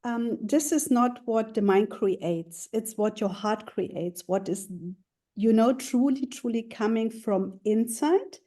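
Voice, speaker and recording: A woman's voice: on the high side, slow, mellow. A woman in her thirties from Germany, a life coach answering interview questions in a hesitant way, with many fillers and restarts.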